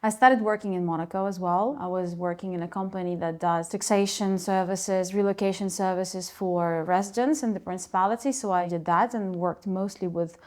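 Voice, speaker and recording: A woman's voice: mid-range voice, steady tone, moderate pace, few pauses, a little mellow. A woman in her thirties from Ukraine, a native Russian speaker, talking through her story as a school co-founder in an interview, chatty with frequent asides. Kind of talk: interview answers to camera.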